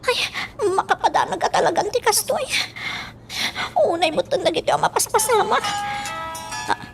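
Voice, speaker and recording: Radio drama housemaid: very high, lively, chattering, nervous and fretful. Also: female voice, moderate pace, gravelly, soft background music, full character voice. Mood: worried. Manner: anxious, gossipy, animated. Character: chatty, fretful female servant at a mansion.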